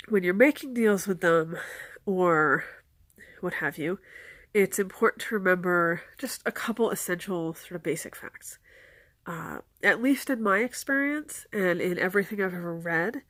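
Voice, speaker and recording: A woman with a stuffed-up nose from a cold, calmly explaining folklore and giving practical advice in a casual, earnest way. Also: fairly low pitch, no room sound, clean studio sound.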